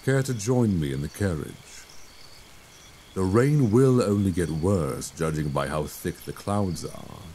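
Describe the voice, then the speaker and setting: A man playing a suave, courteous Victorian gentleman vampire in an intimate ASMR roleplay, speaking in genteel, solicitous phrases close to a binaural microphone. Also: animated, unhurried, slight background noise. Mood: pleasantly inviting. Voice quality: low, creaky voice.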